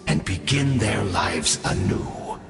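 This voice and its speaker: A man voicing a menacing, gloating herald of an abyssal order in a video game, grandiose, deliberate and condescending. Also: low-pitched, expressive, creaky, heavily acted. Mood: triumphant. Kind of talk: theatrical video game villain acting. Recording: echoing hall reverb, music behind.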